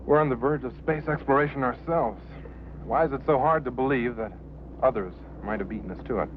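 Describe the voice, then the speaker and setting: An American actor playing an earnest pilot who takes mysteries seriously, in vintage film drama style. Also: male voice, mid-range voice, a little fast, lightly acted. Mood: earnest, insistent. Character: earnest male pilot open to UFO mysteries. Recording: muffled, noisy old film soundtrack.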